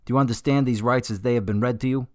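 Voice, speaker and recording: A man playing a police officer in a radio drama, official, measured and matter-of-fact. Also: medium pitch, steady tone, no room sound, clean studio sound, lightly acted. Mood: stern, official.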